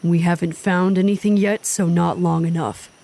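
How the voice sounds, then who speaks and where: A woman's voice: fairly low pitch, steady tone, moderate pace, creaky, warm tone, a little hiss or hum. An actress playing a driven, stubborn woman leading weary travelers in an audio drama, speaking in an insistent, clipped, impatient way. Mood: brisk, practical. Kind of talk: naturalistic audio drama acting.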